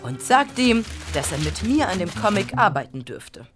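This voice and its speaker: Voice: medium pitch, big pitch swings, normal speed, fairly bright tone, soft background music. An actor playing a wry, self-centred schoolboy narrating his diary in an audio drama. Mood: smug. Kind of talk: scripted first-person story narration.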